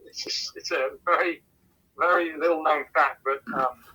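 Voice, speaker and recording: A man with an English accent, a missions pastor, answering interview questions in a measured way and often repeating words as he thinks. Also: fairly high pitch, steady tone, bright, forceful tone, slight background noise, rough recording. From England. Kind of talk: casual phone interview answers.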